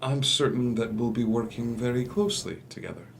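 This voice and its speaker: An actor playing a charming, calculating gentleman doctor and proprietor in a musical table read, speaking in a courtly, deliberate manner. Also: medium pitch, creaky, lightly acted. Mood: smooth, persuasive. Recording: noisy home recording.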